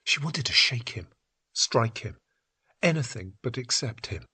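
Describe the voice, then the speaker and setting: A man reading scripted literary fiction in a husky, breathy voice that often drops toward a whisper, with measured, dramatic phrasing. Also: medium pitch, lively intonation, pushed and bright. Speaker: narrator. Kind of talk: scripted literary story narration.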